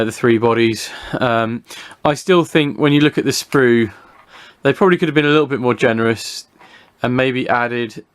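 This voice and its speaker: A man's voice: mid-range voice, smooth. A British man giving a casual, unscripted hobby review, talking through what he shows in a hesitant, rambling way, thinking aloud.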